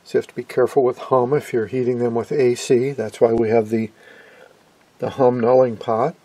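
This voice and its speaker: A man giving a casual, halting, conversational explainer as an enthusiastic radio hobbyist. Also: mid-range voice, level tone, warm tone, husky, no room sound. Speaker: amateur radio hobbyist presenter.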